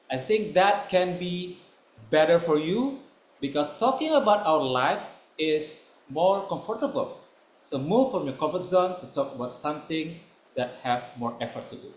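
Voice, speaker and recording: A man giving spoken feedback as a club evaluator, with halting, non-native phrasing, in an echoey room. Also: a little high. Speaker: speech evaluator at a public speaking club.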